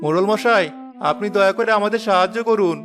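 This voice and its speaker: A man plays a poor villager in a cartoon, an earnest family man full of worry. His voice is high for a man and urgent, over clearly audible music. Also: fast, close to an everyday voice. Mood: pleading. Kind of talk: animated moral story voice acting.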